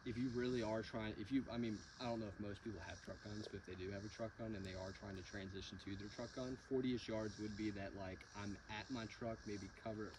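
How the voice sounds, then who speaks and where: Male training partner, lowish voice, casual and hesitant, recorded outdoors with clear background noise. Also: fairly even intonation, fast, hardly any pauses. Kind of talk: casual chat. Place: outdoors at a wooded range. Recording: noisy outdoor audio.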